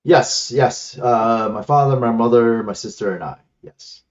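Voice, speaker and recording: A man's voice: medium pitch, unhurried. A man from the Philippines, a native Ilocano speaker, answering casually as an interview guest over a headset. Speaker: guest, martial arts instructor. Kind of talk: casual interview answers, recounting his life. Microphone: headset on an online call. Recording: slightly muffled lo-fi headset call.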